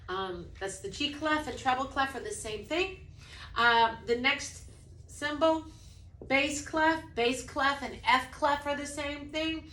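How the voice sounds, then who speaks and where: A woman's voice: pushed and bright, reverberant, slight background noise. A woman who teaches music to preschoolers, talking through a lesson casually and breaking into short sung examples. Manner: demonstrates by singing snippets, then speaks casually; says she has a low voice.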